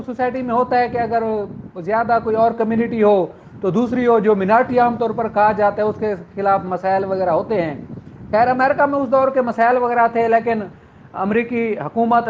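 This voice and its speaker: An earnest male law lecturer with a strikingly high voice. He speaks warmly and directly to his listeners as sisters and brothers. The sound is a little dull, with a faint background hiss. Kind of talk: motivational lecture.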